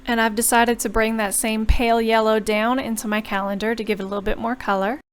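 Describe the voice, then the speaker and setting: A woman's voice: fairly high pitch, little pitch movement, fast, smooth. A young woman chatting through a journal painting tutorial as a voice-over, explaining each step and apologizing now and then. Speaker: bullet journal creator.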